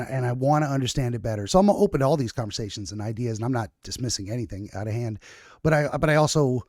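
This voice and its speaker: A man's voice: medium pitch, darkish tone, no echo. Rambling man podcast host, dry sound. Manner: rambling, self-correcting, frequent restarts and fillers. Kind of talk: casual conversational interview.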